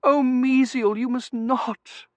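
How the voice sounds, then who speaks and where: A man's voice: very high voice, steady tone, slow, no room sound, voice pushed up. A man voicing a frail, melodramatic Victorian matron in an audiobook, plaintive and moaning. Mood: pleading. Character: fluttery middle-aged matron, frail melodramatic Victorian wife. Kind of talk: audiobook character voice.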